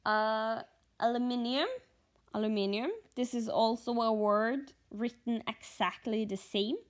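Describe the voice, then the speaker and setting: A woman from Norway with a Norwegian accent, chatting casually in a vlog, pausing and thinking aloud, a little sleepy. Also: fairly high pitch. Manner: halting, thinking aloud with fillers. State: just woken up, short on sleep. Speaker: stitching vlogger.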